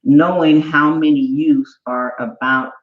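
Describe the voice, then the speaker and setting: A woman's voice: sits low, steady tone, slow pace. A woman co-hosting a show in a bubbly, effusive way, chatting casually and using lots of fillers. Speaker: show co-host. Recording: echoey, dull room audio.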